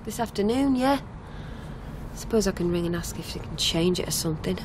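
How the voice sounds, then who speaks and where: A woman's voice: fairly low pitch, animated, darkish tone, natural voice. An actress playing a flustered, apologetic young woman hitch-hiker in a naturalistic radio drama, speaking hesitantly. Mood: hesitant, frustrated. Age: young adult. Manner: hesitant, halting. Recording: clear vehicle noise behind. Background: car interior ambience, engine noise.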